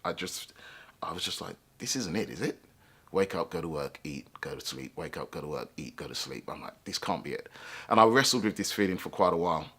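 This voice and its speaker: A man, telling his own life story in a reflective, halting way with many fillers and restarts. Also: deep voice, lively intonation, a bit bright, husky. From England. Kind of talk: personal life story told to camera.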